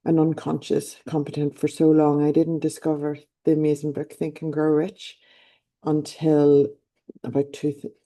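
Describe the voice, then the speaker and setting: A woman's voice: low-pitched, creaky, mellow. A middle-aged woman with an Irish accent, giving an enthusiastic motivational talk as a personal development coach. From Ireland. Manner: enthusiastic, upbeat.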